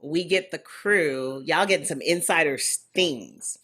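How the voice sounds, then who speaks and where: Low voiced young woman on a podcast, haitian american, chatty and jokey. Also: measured pace, a bit bright. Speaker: podcast co-host. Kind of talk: casual podcast chat.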